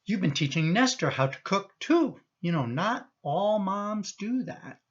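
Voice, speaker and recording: Sheepish, slow-talking husband, acted by a man. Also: high voice, sing-song, dull audio, heavily acted. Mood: flattering. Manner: hesitant, cajoling. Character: sheepish, placating husband and father, evasive. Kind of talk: radio play acting.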